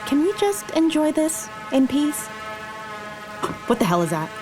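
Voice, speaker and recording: A woman's voice: high-pitched, sing-song, a little slow, background noise. An actress playing a sensible, self-doubting young woman in a romcom audio drama, earnest and questioning. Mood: exasperated. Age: young adult. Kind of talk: romcom podcast drama acting.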